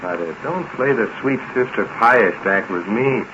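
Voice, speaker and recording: Guy as greedy sneering farm son, lowish gruff country american voice, hissy old radio play. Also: animated, normal speed, close to an everyday voice. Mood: sneering, curious. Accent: rural American. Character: callous, greedy, worthless grown son of a farmer. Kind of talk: 1940s radio drama acting. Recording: muffled, hissy 1940s radio recording.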